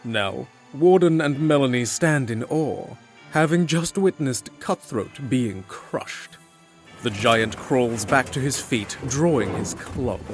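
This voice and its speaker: A man's voice: medium pitch, slow, faint music behind. A man giving dramatic, scripted fantasy narration as the storyteller.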